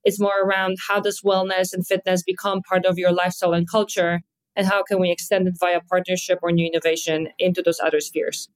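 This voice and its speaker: An upbeat woman with a Polish accent answers as a podcast guest, candid and quick. She sounds like an executive in her thirties, talking about her work with easy confidence. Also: fairly low pitch, level tone, poor audio. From Poland. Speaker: guest, fitness company executive. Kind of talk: podcast interview.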